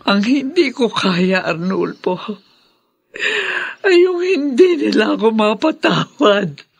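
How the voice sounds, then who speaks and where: A woman's voice: medium pitch, sing-song, slow pace, gravelly, breathy edge, no room sound, exaggerated voice. A sorrowful, remorseful older mother. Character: ailing, remorseful older mother pleading for forgiveness. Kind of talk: Filipino radio drama acting.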